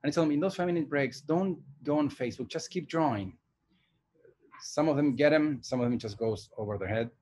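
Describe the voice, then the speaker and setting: A man's voice: on the high side, fairly quick, a little mellow, slight background noise. A man in his forties from Argentina, an animation director and teacher, talking thoughtfully with many hesitations and restarts in a casual interview. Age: 40s.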